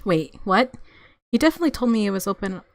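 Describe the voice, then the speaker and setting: A woman narrating a visual novel aloud as a game streamer, with expressive reactions and music underneath. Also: medium pitch, fast, no room sound.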